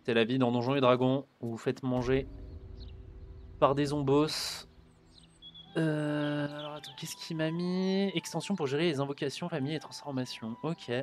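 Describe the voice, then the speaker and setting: A man's voice: on the high side, fairly even intonation, normal speed, a little hiss or hum. A man streaming a video game with casual, chatty commentary, muttering and swearing as he plays. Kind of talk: casual let's-play commentary.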